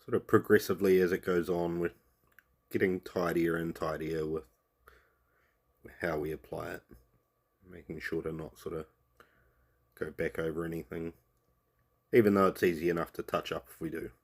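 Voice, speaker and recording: A man with a New Zealand accent who has a cold, talking through a painting tutorial in a relaxed voice-over that trails off with "sort of" and "um". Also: sits low, normal speed, long pauses.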